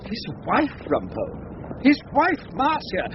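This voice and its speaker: A man's voice: very high voice, wildly swooping pitch, slow, exaggerated voice. A man playing a breezy, clubbable upper-class English barrister in a radio drama. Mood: gossipy, confiding. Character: plummy, chummy English barrister, breezily indiscreet. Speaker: opposing barrister. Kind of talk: British radio drama acting. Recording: muffled radio drama with clear noise.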